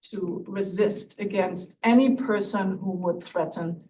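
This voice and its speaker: A woman's voice: mid-range voice, steady tone, a little slow. A woman from Germany with a German accent, making a hesitant, roundabout and polite academic comment as an audience member. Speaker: visiting fellow, audience questioner. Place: lecture room audience. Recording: distant mic, faint room noise.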